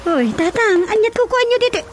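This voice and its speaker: A very high, swooping voice of an excitable young female cousin played by a woman in a radio comedy drama. She teases in exclamations at an unhurried pace, with music clearly behind her. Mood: startled, puzzled. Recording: loud background music.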